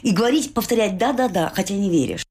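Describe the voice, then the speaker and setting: A woman interviewer speaking in a deliberate, emphatic, essayistic way, as in a reflective literary interview. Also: mid-range voice, lively intonation, darkish tone, slightly rough.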